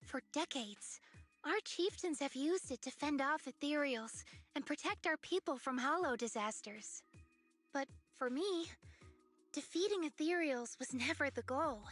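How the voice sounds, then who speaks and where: A woman's voice: high voice, animated, a little slow, a bit bright, faint music behind. A woman voicing an earnest, curious young tribeswoman in an anime-style game. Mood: reflective, unsure.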